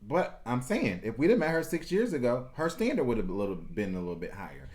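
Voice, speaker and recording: A man's voice: medium pitch, sing-song, quick pace, a little mellow. Easygoing man thinking aloud. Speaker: co-host of a reality show review. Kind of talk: casual chat.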